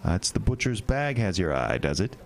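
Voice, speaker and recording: A man's voice: low-pitched, very animated, moderate pace, a little mellow. A silky sinister carnival showman. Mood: sly. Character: sly sinister male carnival owner, smooth and mocking. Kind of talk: horror radio drama acting. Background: music score.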